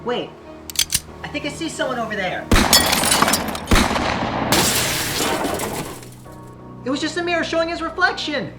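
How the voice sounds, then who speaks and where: A man's voice: very high-pitched, big pitch swings, fast talker. A man playing a deferential, ingratiating dockside drug gang henchman in an audio drama. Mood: alarmed. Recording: loud music behind the voice.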